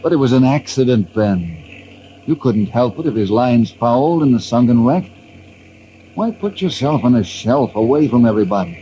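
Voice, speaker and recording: Steady, caring hero played by a man, mellow low voice with lively inflection, earnest and reassuring, for vintage radio adventure. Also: moderate pace, highs cut off, lightly acted. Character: steady, caring outdoorsman hero, concerned friend. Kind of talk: old-time radio drama acting. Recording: noisy old radio recording.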